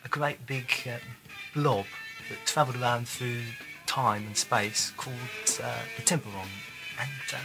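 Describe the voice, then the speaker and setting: A man reminiscing casually in an interview, in a halting, hesitant way. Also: mid-range voice, low-quality recording. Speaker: audio drama writer and contributor. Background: music.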